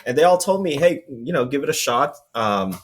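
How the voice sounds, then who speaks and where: A man in his forties from Vietnam, a guest entrepreneur talking casually in an interview, relaxed and rambling with many fillers. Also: mid-range voice, moderate pace.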